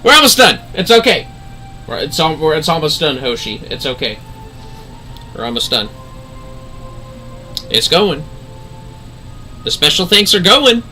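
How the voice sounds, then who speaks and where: A man's voice: fairly high pitch, sing-song, a little slow, pauses often, bright, forceful tone, soft background music, rough recording. A man giving casual, sweary and jokey livestream commentary on a game, often exclaiming. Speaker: livestream host.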